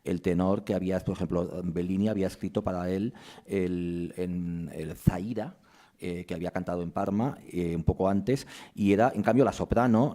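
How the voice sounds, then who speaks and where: A man's voice: low-pitched, mellow. A man presenting a theatre season at a press conference, explaining at length with frequent restarts.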